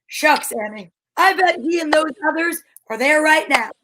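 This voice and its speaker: A woman's voice: sits high, animated, normal speed, pushed and bright. A woman playing an earnest, helpful country boy, folksy and agreeable, in old-time radio drama style.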